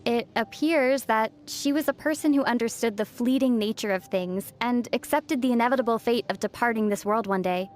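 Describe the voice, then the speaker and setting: A woman playing a gentle, thoughtful young female traveler in video game dialogue, speaking in a measured, soft-spoken way. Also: fairly high pitch, a little hiss or hum, close to an everyday voice. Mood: wistful, reflective. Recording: faint music under the voice. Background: faint background music.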